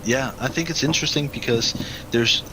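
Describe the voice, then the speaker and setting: A man's voice: mid-range voice, moderate pace, crowd noise, rough recording. A man talking as a guest on a casual streamed podcast, playful, often stumbling and restarting his sentences.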